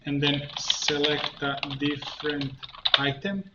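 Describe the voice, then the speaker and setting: A man narrating a step-by-step software tutorial, thinking aloud in a halting way as he works. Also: a little high. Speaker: tutorial instructor, coach. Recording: muffled, roomy, faint noise, keyboard clicks. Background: computer keyboard typing and clicking.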